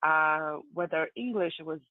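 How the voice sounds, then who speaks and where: Latvian-accented actress on a tinny line. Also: female voice, low voice, normal speed, dry recording. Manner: chatty, laughs easily, hesitant fillers. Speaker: actress and singer guest. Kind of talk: interview answers. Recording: tinny phone-like line, dry.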